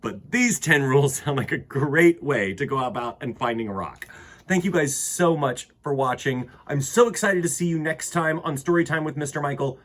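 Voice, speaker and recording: A man with a husky voice reading aloud to children as a storytime host, expressively, with dramatic emphasis and pauses. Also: fairly high pitch, animated, fairly bright tone. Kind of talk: animated picture-book read-aloud for children.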